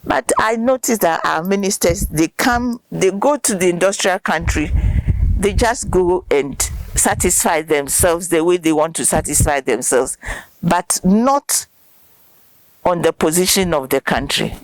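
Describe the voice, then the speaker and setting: A woman's voice: fairly low pitch, a little slow, dry recording, low-quality recording. An older woman, a studio guest speaking earnestly and repeating words for emphasis. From Ghana. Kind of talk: impassioned interview answers.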